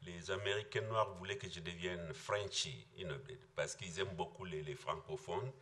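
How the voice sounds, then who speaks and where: An older man from Mali with a Malian accent, speaking in a reflective panel discussion in a thoughtful, halting way with frequent restarts. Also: deep voice, steady tone, bright, forceful tone.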